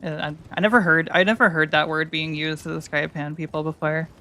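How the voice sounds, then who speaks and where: A woman's voice: sits low, steady tone, brisk, bright, forceful tone. A woman streamer with a feminine voice, chatting casually and rambling with many restarts while she plays. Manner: rambling, self-interrupting, joking. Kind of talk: casual gaming stream chat.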